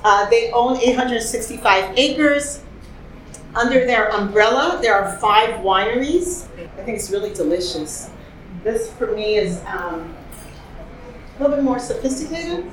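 A woman's voice: fairly high pitch, expressive, unhurried, a bit bright. A woman hosting a wine tasting in a casual, enthusiastic way, asking the group questions as she goes. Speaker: host leading a wine tasting. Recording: echoey room with faint ambience.

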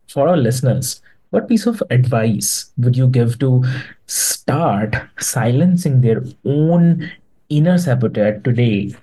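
A man's voice: mid-range voice, mellow, low-quality recording. A man hosting a podcast interview, speaking hesitantly with many fillers and restarts. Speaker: podcast host.

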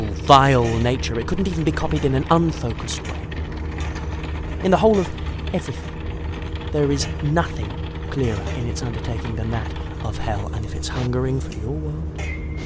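A man playing a strange, menacing and scornful otherworldly traveller in his thirties, speaking theatrically with dramatic pauses in an audio drama. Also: mid-range voice, noisy background, lightly acted. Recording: music behind the voice.

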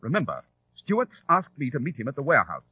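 A self-assured detective speaks briskly and precisely, never rattled. The voice is husky and lively in pitch, on a muffled, narrow vintage radio recording. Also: male voice, no room sound, heavily acted. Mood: brisk, resolute. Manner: crisp, precise, formal. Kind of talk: old-time radio drama acting.

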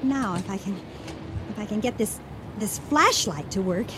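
An actress in a radio drama playing a nervous, superstitious wife who is fearful and pleading. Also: female voice, mid-range voice, animated, normal speed, noisy background, close to an everyday voice. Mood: concerned. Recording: 1980 radio drama with prominent music underneath.

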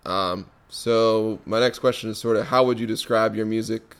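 A man hosting a podcast in a relaxed, casual interview chat, often pausing on ums and restarting his sentences. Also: on the low side, clean tone. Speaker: podcast host.

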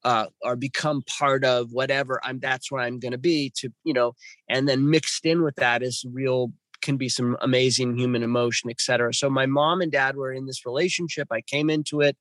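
A man's voice: mid-range voice, steady tone, fairly quick, a bit bright, no room sound. A man in his fifties from Los Angeles, telling his life story as a podcast guest in a rambling way, with restarts and many fillers. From Los Angeles, California. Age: 50s. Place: home with birds nearby.

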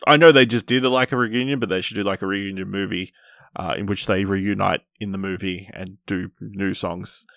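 A man with a fairly low, gravelly voice chats casually as a podcast host. He keeps things informal while steering the conversation, and the sound is dry with no room to it. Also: fairly even intonation, moderate pace, a bit bright, dry recording.

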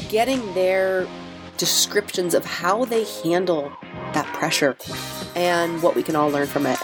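A middle-aged woman hosting a podcast interview, talking with casual enthusiasm and a stuffy nose from a cold. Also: sits low. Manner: enthusiastic, casual, swears freely. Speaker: podcast host and mindset coach.

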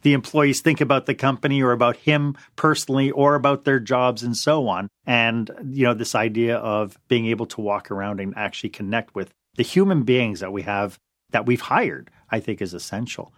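A man's voice: mid-range voice, moderate pace, a bit bright, no room sound, studio quality. A man hosting an interview, asking questions and reacting with enthusiasm. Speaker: interview host. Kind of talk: conversational interview.

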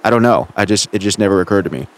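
A man hosting a casual show, talking in a hesitant, halting way. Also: lowish voice, lively intonation, slight background noise. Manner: hesitant, halting, full of fillers. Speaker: host. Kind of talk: casual chat.

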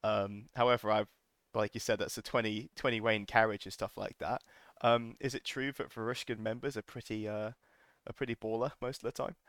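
Male host, lowish voice, dry close sound, relaxed and jokey. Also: brisk, no room sound. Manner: relaxed, jokey, many fillers. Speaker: podcast host. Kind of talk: casual interview chat.

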